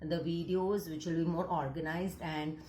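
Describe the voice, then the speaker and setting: A woman's voice: deep voice, level tone, moderate pace, mellow, roomy sound, slight background noise. A woman counseling psychologist with an Indian accent, Gujarati her first language, talking earnestly to camera and slipping into Hindi mid-sentence. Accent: Indian English. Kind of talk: casual talk to camera.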